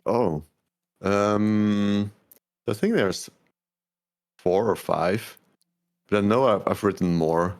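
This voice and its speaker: A man speaks as a guest on a laid-back podcast, his voice on the low side. He talks in an easygoing way, laughing readily and sprinkling in plenty of fillers. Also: slow, pauses often. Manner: relaxed, laughs easily, many fillers like "like". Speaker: podcast guest, game developer. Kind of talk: casual interview chat.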